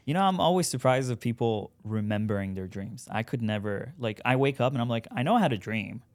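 A man's voice: mid-range voice, lively intonation, brisk, no room sound. A man from Iran whose first language is Farsi, a guest chatting in a friendly, easygoing way. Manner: friendly, easygoing, laughs along. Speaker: podcast guest, real estate agent. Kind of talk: casual interview chat.

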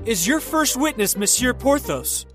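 A man's voice: very high-pitched, big pitch swings, measured pace, a bit bright, soft background music, full character voice. A man reading the part of a young, polite swordsman newly arrived in the city, earnest and courteous. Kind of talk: audiobook character reading.

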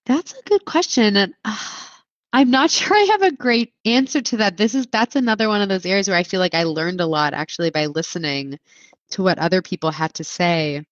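A woman's voice: mid-range voice, a little fast, dry recording, dull audio. A woman in her thirties, a clinician guest, answering interview questions in a reflective, think-aloud way with frequent filler words. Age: 30s.

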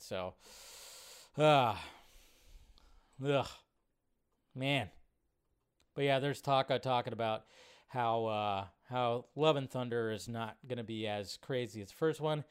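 A middle-aged American man with a smooth, clean voice that sits a bit high for a man. He talks like a livestream host, casual and rambling. His delivery is blunt and sardonic, with swearing and stretched-out words for emphasis. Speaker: host of a live movie news show. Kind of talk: casual, rambling livestream commentary on entertainment news.

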